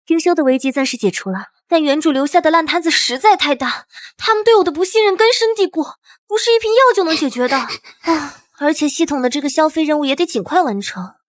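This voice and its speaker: A woman voicing a flippant, scheming young princess in an animated drama, with a cheeky, wisecracking manner. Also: high-pitched, fast talker, long reverb tail. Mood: weary exasperation. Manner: cheeky, self-amused, wisecracking. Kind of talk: animated short-drama dubbing with comic inner monologue.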